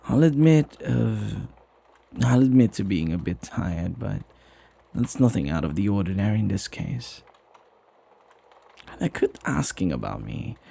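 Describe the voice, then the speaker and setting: A man playing a flirty, husky devoted butler. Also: lowish voice, mellow, exaggerated voice. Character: flirty, devoted, teasing butler doting on the master. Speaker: ASMR roleplay voice actor. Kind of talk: ASMR roleplay acting.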